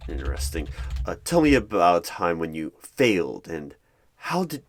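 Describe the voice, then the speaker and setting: A man in an ASMR roleplay as a hiring manager, asking interview questions in a measured, deadpan manner. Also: sits low. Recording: clear background noise with keyboard typing. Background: computer keyboard typing and clicks.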